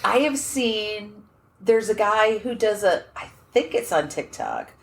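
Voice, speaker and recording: A woman's voice: medium pitch, takes it slowly. A woman co-hosting a podcast, chatting casually and telling stories with frequent laughter. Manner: animated storytelling, laughs often. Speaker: podcast co-host. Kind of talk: casual chat. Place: room at a writers' conference.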